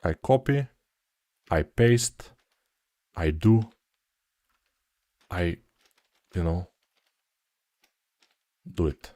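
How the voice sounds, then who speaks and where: A man's voice: low-pitched, animated, extremely slow, pauses often, warm tone. A man with a Bulgarian accent teaching 3D modeling on a live stream, chatting casually and answering viewer questions with many restarts and fillers. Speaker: 3D art instructor.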